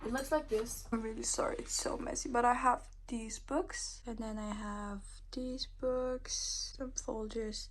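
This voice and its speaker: A woman in her twenties, a non-native English speaker, chatting casually to camera from her bedroom, rambling with many fillers, her phrase ends trailing into a breathy whisper. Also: a little high, steady tone, measured pace, hardly any pauses. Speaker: student vlogger.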